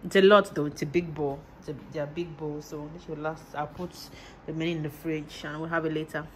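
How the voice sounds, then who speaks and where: A woman's voice: low voice, steady tone, brisk, slight background noise, low-quality recording. A woman from Nigeria chatting casually in a home vlog, talking through her cooking in simple, repetitive phrases and laughing often. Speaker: vlogger and mother. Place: home kitchen.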